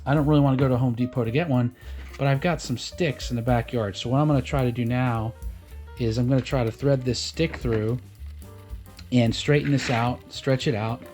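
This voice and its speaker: A man with a mid-range voice talks as the host of a homemade boat repair vlog. His delivery is casual and off the cuff, halting now and then and repeating words as he explains. Faint music can be heard with his voice. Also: medium pitch, steady tone, darkish tone. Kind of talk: casual unscripted how-to chat.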